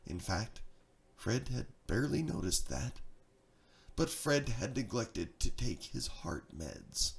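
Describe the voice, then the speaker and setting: Man reading a rhyming tale, wildly varied pitch. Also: gravelly. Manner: theatrical, swings from hushed to excited. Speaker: narrator, storyteller. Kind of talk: dramatic rhyming story reading.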